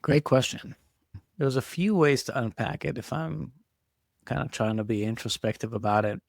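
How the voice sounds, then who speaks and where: A man's voice: medium pitch, moderate pace, gravelly, no room sound, studio quality. A man, giving reflective, conversational answers as an interview guest. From Israel. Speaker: podcast guest, entrepreneur.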